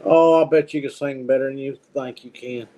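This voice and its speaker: A man speaking casually as the host of a relaxed conversational show. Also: medium pitch, fairly quick, poor audio. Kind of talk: casual chat.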